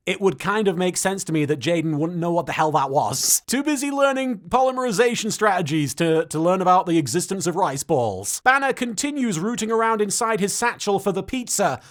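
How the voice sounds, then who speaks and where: A man giving a scripted comic recap as host, sarcastic and exclamatory. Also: high-pitched.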